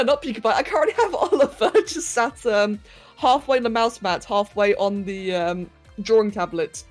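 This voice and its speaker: A young woman hosting a casual livestream, reading chat, greeting viewers and laughing as she talks to her pets. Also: on the high side. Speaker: livestream host. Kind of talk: casual chat with live chat while sculpting.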